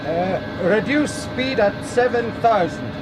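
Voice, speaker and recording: An actor playing an edgy senior oil rig engineer who gives terse orders in a radio drama. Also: male voice, animated, slow. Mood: commanding. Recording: noisy rumbling rig background.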